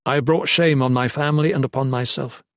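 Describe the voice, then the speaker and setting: A man's voice: mid-range voice, fairly quick, no room sound, studio quality, close to an everyday voice. A man voicing a distressed, contrite young male protector who pleads in formal, courtly wording for a storybook. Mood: bitter, ashamed.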